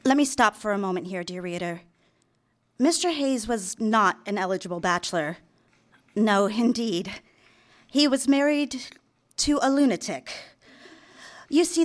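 An actress playing a young 1950s secretary in a radio drama, confiding and lovestruck, live on a theater stage. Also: female voice, fairly high pitch. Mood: lovestruck, defiant. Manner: confiding, direct address to listener. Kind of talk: radio drama narration and dialogue.

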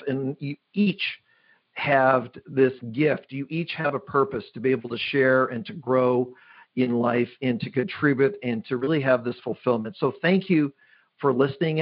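Man hosting a podcast, slightly muffled. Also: medium pitch. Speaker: podcast host. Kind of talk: podcast interview and outro.

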